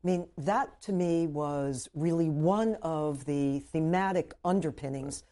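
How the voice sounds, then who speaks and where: A woman hosting an interview, asking her questions in a measured, halting way with fillers. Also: sits low, mellow. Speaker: interviewer, host.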